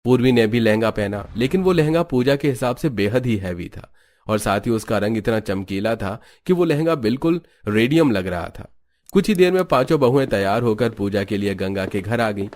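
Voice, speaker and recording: Low-voiced male cartoon narrator. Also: animated, normal speed, a little mellow. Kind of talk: scripted storybook narration.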